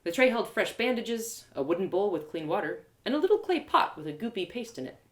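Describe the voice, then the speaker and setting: A woman reads a fantasy tale aloud in the first person. Her delivery is theatrical and very animated, with pitch moving freely around a medium register. The sound is roomy and echoing. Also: a little fast. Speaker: storyteller and audio drama performer. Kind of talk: expressive first-person fantasy story narration, live reading.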